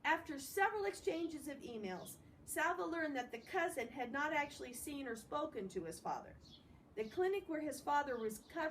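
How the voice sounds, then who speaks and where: High-voiced woman reading a story aloud. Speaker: storybook reader. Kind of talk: expressive read-aloud with casual asides. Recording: distant mic with faint background noise.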